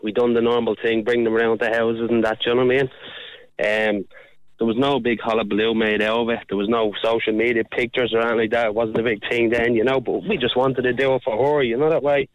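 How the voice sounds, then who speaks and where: A man's voice: medium pitch, level tone, fast, dry recording, highs cut off. A middle-aged Irish man phoning in to a radio show, talking in an easygoing, slightly defensive way. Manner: easygoing, defensive, repeats "you know what I mean". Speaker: caller.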